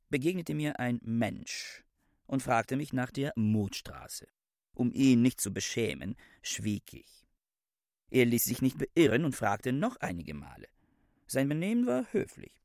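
A man's voice: medium pitch, gravelly, darkish tone, no room sound, full character voice. An actor playing an aloof, pedantic male scholar who talks in a precise, dry, self-righteous way in a radio play.

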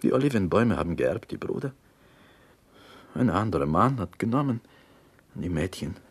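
Wistful Greek prisoner for radio drama: lowish, very husky, lively intonation, Greek accent, halting broken German. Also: male voice, exaggerated voice. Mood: sorrowful. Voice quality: husky, breathy. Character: Greek man, a wistful storyteller in a prison cell. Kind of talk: radio play acting.

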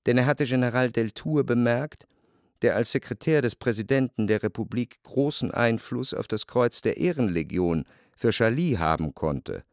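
A man acts an observant literary narrator in a radio play. He tells the story in a measured, wry way, with a medium pitch and widely varied intonation. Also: expressive, dry recording, studio quality, close to an everyday voice.

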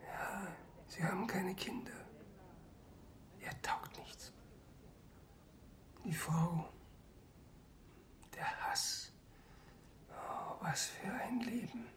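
An actress playing a gloomy household servant who mutters complaints in a lamenting radio drama manner. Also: female voice, mid-range voice, drawn-out words, long pauses, whispered. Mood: gloomy, muttering. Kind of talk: German radio drama acting.

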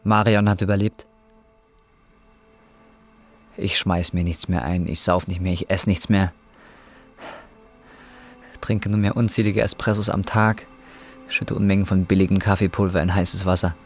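A man's voice: deep voice, level tone, normal speed. An actor in a radio drama playing a desperate, bitter drug courier in his twenties, coarse and weary. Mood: weary, resolute. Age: 20s. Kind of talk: radio drama acting and first-person narration.

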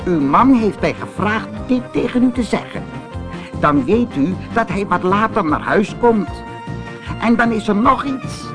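A man's voice: high-pitched, animated, a little slow, music in the background, pitched above the performer's own voice. A man voicing a prim, polite, disapproving parrot in a storybook, speaking in a proper, precise way. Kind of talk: storybook character voice.

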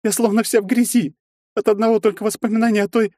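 A man's voice: on the high side, big pitch swings, fairly quick, mellow, breathy edge, no room sound, voice pushed up. A man voicing a proud young woman who speaks in clipped words and breaks into sobbing pleas, in a dry, close recording. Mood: tearful.

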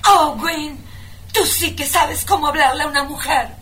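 An actress playing a naive, adoring secretary and secret mistress in an overwrought, cooing radio melodrama parody. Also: female voice, high voice, moderate pace, fairly bright tone, a little hiss or hum.

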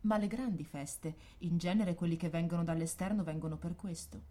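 An actress playing a dutiful, naive, robotic official escort in a dystopian science-fiction radio drama, formal and literal in manner. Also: female voice, on the low side, steady tone, a little fast, dark tone, lightly acted. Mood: formal, matter-of-fact.

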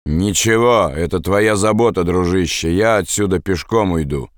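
A man voicing a tired, terse soldier in an audiobook, replying curtly and calmly. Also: low-pitched, moderate pace, no echo, studio quality, lightly acted. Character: weary foreign soldier, terse and calm.

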